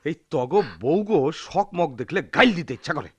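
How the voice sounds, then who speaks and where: Animated, scolding village husband. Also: male voice, a little high, brisk, breathy edge, dry recording. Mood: demanding, irritated. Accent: rural Bangladeshi. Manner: scolding, taunting. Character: bullying, aggrieved village husband, hot-tempered and demanding. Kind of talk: Bengali radio drama acting.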